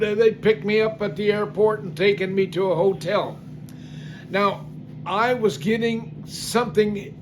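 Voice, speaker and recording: A man's voice: high-pitched, background noise. An older man casually telling a story from his life in a folksy, rambling way, with many fillers and restarts. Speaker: singer telling life stories. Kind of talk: casual spoken testimony.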